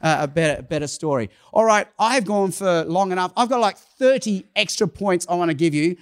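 A man's voice: sits high, brisk, clear, resonant tone. A middle-aged man whose first language is Cantonese, preaching and acting out conversations with rising emphasis. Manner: animated, acts out dialogue with rising emphasis. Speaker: pastor. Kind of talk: sermon storytelling.

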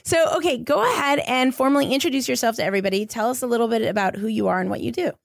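A woman's voice: a little high, rapid-fire, vocal fry, no echo, studio quality. A woman hosting a podcast in an upbeat, effusive and emphatic way as she interviews a guest. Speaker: podcast host. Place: small indoor studio space.

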